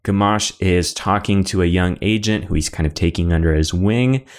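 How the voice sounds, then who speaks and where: This is a man hosting a podcast, with a voice that sits low in pitch. He reads his scripted lines in an upbeat way. Between the scripted parts he slips into casual conversation with his co-host. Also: moderate pace, smooth.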